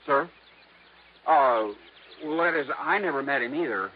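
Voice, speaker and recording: An actor playing a polite young farm son, deferential and halting, in 1950s radio drama style. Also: male voice, fairly high pitch, animated. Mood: hesitant. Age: young adult. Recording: muffled old radio recording, faint noise.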